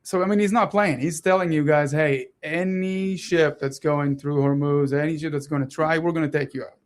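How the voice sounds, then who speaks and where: A man in his thirties with a soft Israeli-Italian accent, a native Hebrew speaker, talking casually and animatedly as a radio co-host. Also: on the high side. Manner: animated, talks fast with many restarts and laughs. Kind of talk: casual political chat.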